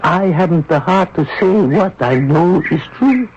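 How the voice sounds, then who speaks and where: An actor playing a wary, kindly middle-aged French farmer in a radio drama, speaking haltingly and secretively. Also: male voice, sits high, animated. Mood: grave. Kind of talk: radio mystery drama acting. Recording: muffled, noisy old radio recording.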